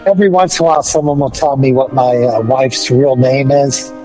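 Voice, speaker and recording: A man's voice: medium pitch, fairly even intonation, brisk, loud music behind the voice. A man talking casually as a guest on an online show. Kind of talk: casual chat.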